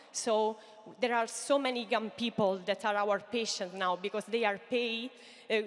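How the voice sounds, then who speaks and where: A woman in her thirties with an Italian accent, giving an earnest startup pitch on a conference stage and speaking straight to the audience. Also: fairly bright tone. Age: 30s. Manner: earnest, addresses the audience directly with frequent fillers. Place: large hall stage. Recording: long hall reverb.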